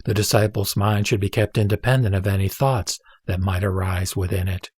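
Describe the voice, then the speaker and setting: A man reading scripture as a scripted narration, in a solemn, measured, teaching manner. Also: low voice, flat delivery, a little mellow, breathy edge, no echo, clean studio sound. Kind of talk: scripted sutra recitation, audiobook narration.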